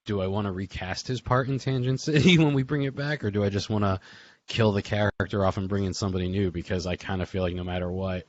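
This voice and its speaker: A man's voice: on the low side, fast, dry recording. A man hosting a casual group call, reminiscing in an informal, rambling way with chuckles between phrases. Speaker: host of a memorial group call. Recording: dull lo-fi online call audio.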